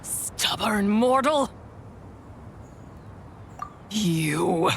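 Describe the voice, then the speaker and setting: A woman's voice: medium pitch, animated, extremely slow, slight background noise. A woman voicing a proud, ancient imprisoned spirit in a fantasy game, with solemn, imperious and archaic phrasing. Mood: wrathful, menacing. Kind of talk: dramatic video game voice acting.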